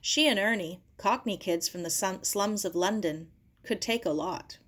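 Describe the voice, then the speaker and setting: A woman's voice: medium pitch, normal speed, a bit bright. A woman reading a children's novel aloud as scripted narration. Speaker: audiobook reader.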